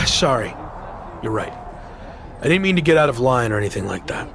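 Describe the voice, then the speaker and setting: An actor playing a cocky, ambitious young wrestler in a video game, speaking in an assertive, argumentative way. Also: male voice, mid-range voice, big pitch swings, normal speed, noisy background. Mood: apologetic. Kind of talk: scripted video game cutscene acting.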